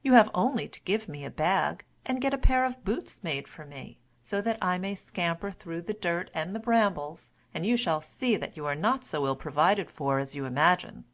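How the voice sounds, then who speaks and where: A woman's voice: lowish voice, animated, moderate pace, hardly any pauses, close to an everyday voice. A woman voicing a cunning, confident cat from a storybook, with a courtly, persuasive manner. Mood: reassuring. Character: cunning, confident talking cat, servant to his master.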